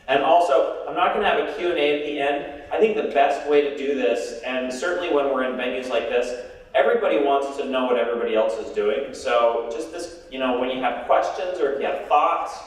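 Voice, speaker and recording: Loud man presenting in an echoey hall. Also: medium pitch, a little fast. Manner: loud, conversational, with frequent restarts. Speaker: presenter on investment strategy. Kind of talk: conference presentation. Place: meeting room. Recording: echoey room from far off.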